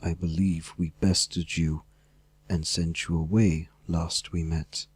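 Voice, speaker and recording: A man's voice: low-pitched, level tone, slow, a little mellow, husky, no echo, voice pushed down, exaggerated voice. A man voicing a haughty aristocratic dragon villain in a fantasy audiobook, mocking and nastily polite.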